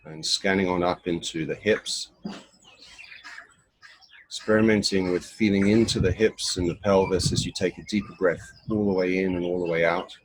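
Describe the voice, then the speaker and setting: A man leading a guided body scan meditation in an unhurried, instructional way, pausing between short phrases. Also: low voice, fairly even intonation, breathy edge, poor audio.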